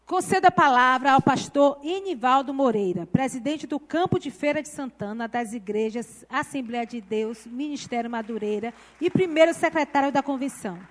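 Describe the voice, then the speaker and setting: A woman's voice: high-pitched, expressive, moderate pace, few pauses. A woman presenting a ceremony, formally reading out the titles and names of the speakers.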